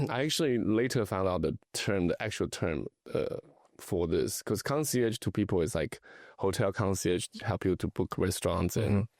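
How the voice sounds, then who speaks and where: A middle-aged man from Taiwan talks casually as a guest, his low voice edged with gravel. He pauses often and restarts his thoughts. The recording is dry and close, with no room sound. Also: lively intonation, a little mellow, no echo. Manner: hesitant, many fillers and restarts. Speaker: luxury travel and lifestyle advisor, guest. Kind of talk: casual conversational interview.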